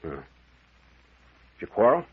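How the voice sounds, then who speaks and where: A man's voice: a little slow, natural voice. A man playing a shrewd, firm captain questioning a suspect in an old radio mystery, terse and authoritative. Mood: probing. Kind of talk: 1940s radio mystery acting. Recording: muffled vintage radio drama recording.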